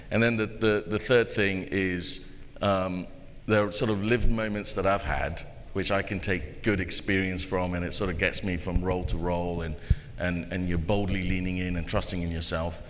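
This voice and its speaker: A man speaking reflectively as a conference panelist, halting and repeating words as he thinks, recorded in a live hall. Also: low voice, a bit bright. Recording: echoey hall with background noise.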